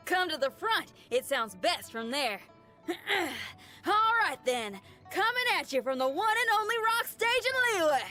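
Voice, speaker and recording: A woman's voice: sits high, sharp tone, heavily acted. A woman playing a brash, upbeat, free-spirited young female rock musician in a video game, with a folksy, slangy and enthusiastic way of talking. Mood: warm, encouraging. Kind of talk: video game character voice lines.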